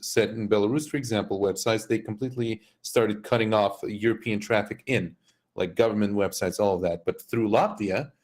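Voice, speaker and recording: A man on a live panel, explaining things casually in a halting, roundabout way. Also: on the low side, darkish tone.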